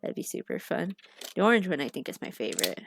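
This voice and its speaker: A young woman chats casually into a headset mic at home, her voice low-set with a gravelly edge. She sounds a little sniffly from allergies and rambles with plenty of filler words. Faint music plays underneath. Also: very animated, fast talker. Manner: chatty, rambling, loses her train of thought with many fillers. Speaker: art streamer. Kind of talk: casual rambling livestream chat.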